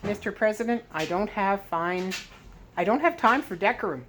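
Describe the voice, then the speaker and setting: A woman's voice: medium pitch, lively intonation, normal speed, a bit bright, lightly acted. Woman playing a desperate, demanding American father. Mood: urgent. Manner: urgent, demanding. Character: desperate, forceful American father, a determined doctor. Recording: clear background noise.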